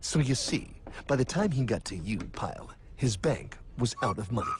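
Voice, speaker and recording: A man playing a smug, theatrical cartoon narrator who lectures in a pompous, deliberate, mock-mysterious way. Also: medium pitch, big pitch swings, noisy background, lightly acted. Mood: lecturing. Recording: background music under the voice.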